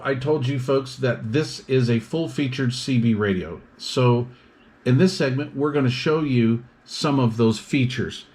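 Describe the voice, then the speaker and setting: A man's voice: mid-range voice, a little mellow. A man giving an explanatory product demonstration, methodically listing features in short phrases as a reviewer.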